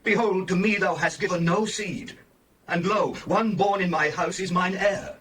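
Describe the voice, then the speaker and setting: A man's voice: high voice, moderate pace, fairly bright tone, slight background noise. An actor playing an earnest, devout, pleading Hebrew patriarch, a man speaking reverently in a dramatized scripture reading. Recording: distant from the microphone.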